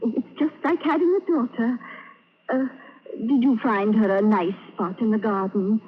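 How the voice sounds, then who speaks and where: A woman's voice: a little high, fairly even intonation, measured pace, a little hiss or hum, close to an everyday voice. An actress in an old radio drama playing a sugar-sweet, scheming middle-aged landlady poisoner who talks in a cooing, sing-song way. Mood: doting. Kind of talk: 1940s radio acting. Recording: tinny lo-fi old radio broadcast.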